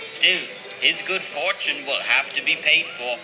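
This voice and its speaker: An actor playing a grumbling, suspicious hobbit miller in an audio play, carping and insinuating like a spiteful village gossip. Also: male voice, a little high, a little slow, music in the background, close to an everyday voice. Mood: disapproving. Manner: carping, insinuating, rustic phrasing. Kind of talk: dramatized audio play dialogue.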